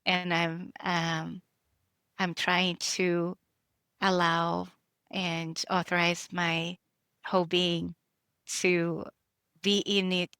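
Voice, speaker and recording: A woman's voice: fairly low pitch, flat delivery, drawn-out words, pauses often, fairly bright tone, smooth, no echo. A middle-aged woman, an author and educator, talking casually with many fillers and restarts. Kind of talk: casual conversation.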